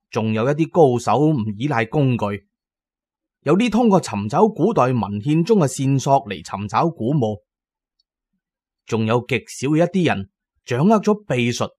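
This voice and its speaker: A man with a Cantonese accent narrating a scripted story in a measured, dramatic, suspenseful storyteller's delivery. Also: medium pitch, darkish tone, dry recording. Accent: Hong Kong or Guangdong Cantonese. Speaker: audiobook narrator.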